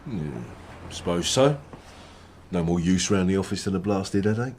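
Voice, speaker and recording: An actor playing an irritable, bullying husband and boss in a radio drama, gruff and sarcastic, with an English accent. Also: male voice, low-pitched, animated, moderate pace, a little mellow. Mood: sarcastic, grudging. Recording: noticeable background noise.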